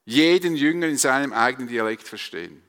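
Male Swiss German preacher: forceful bright tone, rhetorical and emphatic, recorded in a big reverberant hall. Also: medium pitch, expressive, fairly quick. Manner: emphatic, rhetorical repetition and questions. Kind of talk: sermon to a congregation.

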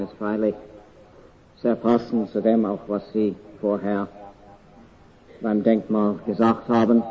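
Older man, English accent, from Canada, earnest deliberate sermon, halting pauses, muffled lo-fi sound. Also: on the low side. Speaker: preacher.

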